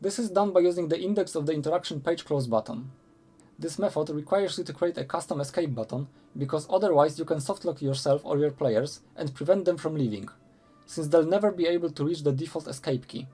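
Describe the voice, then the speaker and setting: A man reading scripted instructional narration in a measured, explanatory manner. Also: on the high side, mellow, soft background music. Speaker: tutorial narrator.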